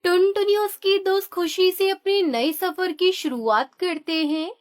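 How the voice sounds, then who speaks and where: Female narrator for children's story, very high-pitched, unhurried scripted read.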